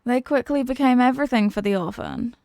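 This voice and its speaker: A woman playing a menacing, mocking demon villainess in a fantasy audio roleplay, theatrical and taunting, with deliberate dramatic pauses. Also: on the high side, darkish tone, dry recording, studio quality, close to an everyday voice. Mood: taunting storytelling. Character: possessing demon villainess, menacing, mocking, tormented by borrowed love.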